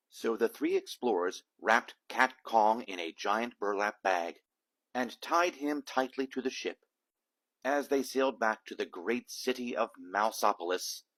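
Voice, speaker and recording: Male storybook reader, a dad reading aloud, mid-pitched voice, dramatic emphasis, animated picture-book delivery. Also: poor audio.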